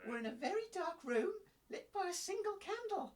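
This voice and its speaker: A woman's voice: extremely high pitch, sing-song, fairly quick, recorded from a distance. A woman playing a naive, nervous radio reporter commentating to listeners in a comic audio drama. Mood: nervously excited.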